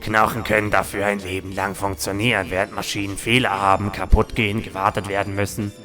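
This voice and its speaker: A man's voice: on the low side, level tone, fairly quick, bright, forceful tone, faint music behind. A man voicing a formal, lecturing spirit companion who comments on duels in an anime fan audio drama. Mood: indignant.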